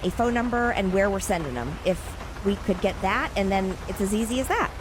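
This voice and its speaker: A woman making a polite, chatty sales pitch on a phone call. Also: expressive, brisk, noisy background. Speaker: prank caller posing as a florist's agent.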